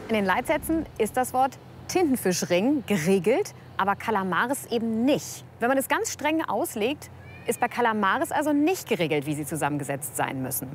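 A woman's voice: on the high side, very animated, normal speed, a little hiss or hum. A woman speaking as a food expert in an interview, emphatic and explanatory. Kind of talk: interview statement.

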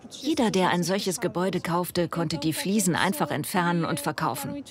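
A woman's voice: lowish voice, lively intonation, normal speed, a crowd in the background, natural voice. A woman doing the voice-over for a female heritage preserver interviewed in a documentary. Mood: matter-of-fact. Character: female Portuguese tile preserver telling of her work.

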